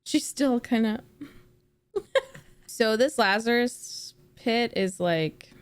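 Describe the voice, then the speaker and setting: A woman's voice: fairly high pitch, lively intonation, takes it slowly, clear, resonant tone. A young woman hosting a reaction video, chatting casually, giggling and musing aloud.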